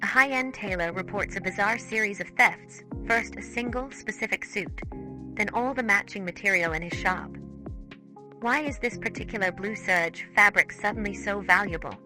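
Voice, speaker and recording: A woman introduces mystery stories in scripted narration. Her voice sits at medium pitch, with music clearly playing behind her. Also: normal speed. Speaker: host introducing radio stories. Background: prominent music.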